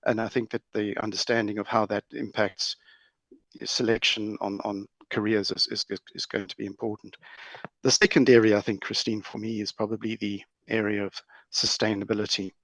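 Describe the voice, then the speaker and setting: A middle-aged man with a South African accent answering as a panel guest in a measured, hesitant, formal way. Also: lowish voice, level tone, fairly bright tone, no room sound. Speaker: corporate lawyer, panel guest. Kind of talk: considered panel discussion answers.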